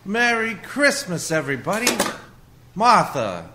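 Tender, cheerful father, male actor, radio drama: highish, animated, noticeable noise. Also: a little slow. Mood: fond. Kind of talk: radio play acting.

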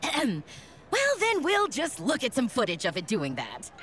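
An actress playing an upbeat, inquisitive TV news reporter in a children's cartoon. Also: female voice, fairly high pitch, huge pitch swings, moderate pace, fairly bright tone, a little hiss or hum. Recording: music behind the voice.

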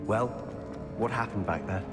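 A man's voice: lowish voice, normal speed, over music, close to an everyday voice. A man voicing a determined, loyal teenage wizard schoolboy with a British accent in a video game, earnest and well-spoken. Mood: suspicious.

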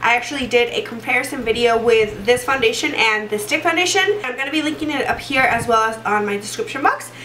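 A woman's voice: fairly high pitch, quick pace, few pauses, bright, forceful tone, distant mic, a little hiss or hum. A young woman in her twenties, stuffy from a cold, chatting through a makeup tutorial with lots of fillers and restarts. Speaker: beauty vlogger. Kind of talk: casual makeup tutorial chat. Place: small room indoors. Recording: roomy echo, voice a bit off-mic.

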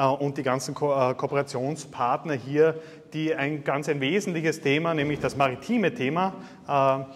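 A man in his thirties with a Styrian Austrian accent, giving a university guest lecture in a chatty, self-deprecating way with many filler sounds. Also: a little high. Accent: Styrian Austrian German. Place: large lecture hall. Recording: reverberant lecture hall.

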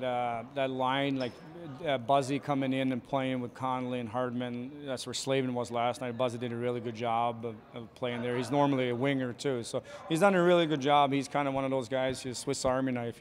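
A man in his forties, a hockey coach answering postgame questions quickly and plainly, with many fillers, into a handheld mic in an arena hallway. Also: medium pitch, fairly even intonation. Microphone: handheld reporter mic.

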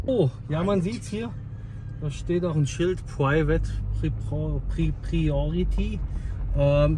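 A man in his thirties with a fairly high voice chats to his viewers on a handheld phone camera, eager and talkative. Outdoor and car noise is clearly heard behind him. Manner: chatty, enthusiastic, addresses viewers directly. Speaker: classic car dealer. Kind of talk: casual vlog commentary. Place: outdoors in a junkyard and inside a car.

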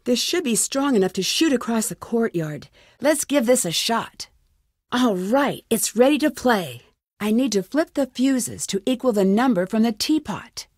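A woman's voice: a little high, lively intonation, normal speed, darkish tone, dry recording. Inquisitive young female sleuth for an adventure game: earnest, plucky musing to herself, close dry sound. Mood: focused. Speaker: lead character in a detective adventure game. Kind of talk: scripted game dialogue, thinking aloud.

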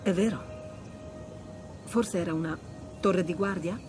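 A woman's voice: lowish voice, unhurried, over music, natural voice. An actress playing a wry, adventurous treasure hunter in her thirties, teasing and understated, in naturalistic video game dialogue. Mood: awed.

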